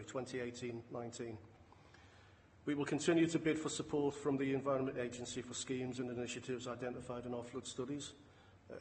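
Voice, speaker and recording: Flat-toned man briefing in an echoey hall. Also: medium pitch. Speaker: council flood management officer presenting a report. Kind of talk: formal committee briefing. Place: large indoor council meeting room. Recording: echoey hall, distant, clear background noise.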